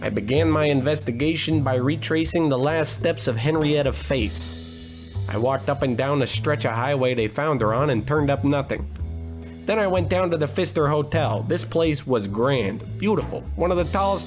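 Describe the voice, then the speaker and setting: An actor playing a wisecracking, cheap private detective with a deadpan, hard-boiled delivery in 1940s radio noir parody. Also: male voice, a little high, moderate pace, over music, close to an everyday voice. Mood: narrating. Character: wisecracking, down-on-his-luck male private detective.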